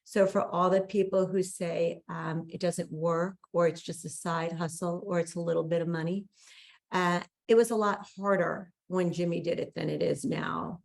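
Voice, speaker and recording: A woman's voice: fairly low pitch, fairly even intonation, normal speed, vocal fry. A woman hosting a call, giving an effusive, emphatic motivational introduction. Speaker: call host.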